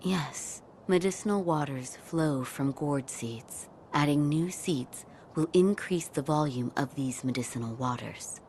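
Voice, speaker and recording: A calm formal lady doctor in a samurai game, super low voice, measured, ambient noise behind. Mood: measured, courteous. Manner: measured, reserved, courteous. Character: calm, formal, devoted female doctor in feudal Japan. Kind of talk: video game cutscene acting.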